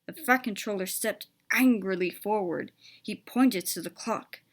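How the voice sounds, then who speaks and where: A woman's voice: mid-range voice, unhurried. A woman reading a children's story aloud as a scripted narration.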